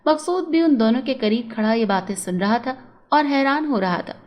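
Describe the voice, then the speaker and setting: A woman's voice: a little high, lively intonation, normal speed, highs cut off. A woman storyteller narrating a scripted moral tale.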